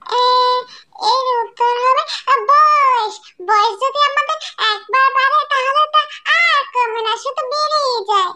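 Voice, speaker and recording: A woman's voice: very high-pitched, a little slow, bright, forceful tone, pitched above the performer's own voice, heavily acted. A woman voicing an innocent, orphaned little girl bird in a cartoon, with a tiny childlike, plaintive voice. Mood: eager, thoughtful. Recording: echoey, music underneath.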